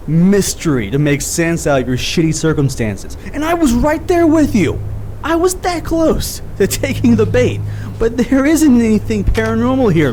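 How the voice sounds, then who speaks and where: Man acting gruff, cynical investigator with animated pitch. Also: a little hiss or hum. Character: gruff, awkward male investigator, cynical and quick to anger. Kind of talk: naturalistic audio drama acting.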